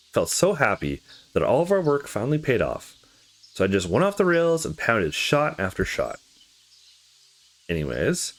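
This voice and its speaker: A troubled young man from a visual novel, read aloud by a male player, opens up about heartbreak in a halting, confessional way. His voice sits fairly low, with very wide swings in intonation. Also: heavily acted. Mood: somber, confiding.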